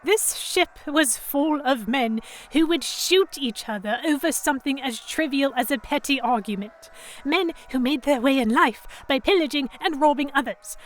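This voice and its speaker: A woman playing an anxious, indignant young captive who narrates her ordeal in expressive, first-person storytelling for an audio drama. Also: fairly high pitch, close to an everyday voice.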